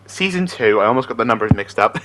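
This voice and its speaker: A young-at-heart amateur impressionist, this man talks in a chatty, enthusiastic way. His pitch swings freely in a middle register. He sounds casual and giggly as he introduces his voices. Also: expressive, brisk, background noise. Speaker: amateur voice impressionist and aspiring voice actor.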